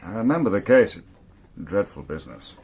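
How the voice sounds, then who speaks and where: An actor playing a middle-aged British sheriff in a radio drama, genial, formal and a little pompous. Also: male voice, fairly low pitch, very animated, normal speed, darkish tone, breathy edge, heavily acted. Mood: apologetic, polite. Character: genial, pompous Scottish sheriff, law-and-order obsessed.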